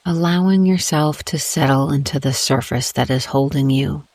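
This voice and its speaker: A woman guiding a meditation in a gentle, calm, unhurried way with long pauses between phrases. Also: rumbling low, dry recording. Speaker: guided meditation host. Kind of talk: soothing guided meditation narration.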